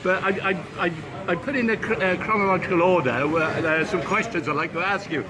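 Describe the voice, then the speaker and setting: A man's voice: high voice, brisk. An older man from Wales, chatting casually as an interviewer, laughing often and filling his talk with ums and uhs. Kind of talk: casual chat. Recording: outdoor street recording with crowd noise.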